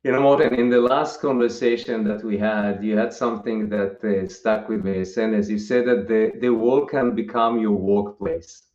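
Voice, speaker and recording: A man's voice: on the low side, fairly even intonation, normal speed, few pauses, darkish tone. A male host putting casual, slightly rambling questions to his guest. His voice carries the echo of a roomy space. The sound is dull and lo-fi. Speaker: show host, interviewer.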